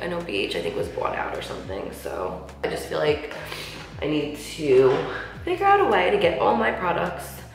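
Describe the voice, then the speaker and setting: A woman in her thirties chatting through a makeup tutorial, slightly nasal from a cold, with lots of "like" and soft asides. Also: sits low. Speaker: beauty vlogger. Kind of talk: casual makeup tutorial chat. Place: large tiled bathroom, indoors. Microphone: camera mic at close range, seated facing camera. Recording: echoey bathroom with faint music.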